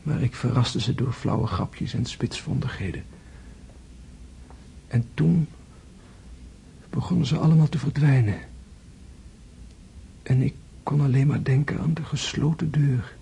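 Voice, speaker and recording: An actor playing a gravely wounded prince in a radio drama, tender and philosophical, speaking in hushed, halting, weakened tones. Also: male voice, medium pitch, a little hiss or hum. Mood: haunted, fearful. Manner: hushed, halting, intense delivery, often whispering. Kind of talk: Dutch radio drama acting.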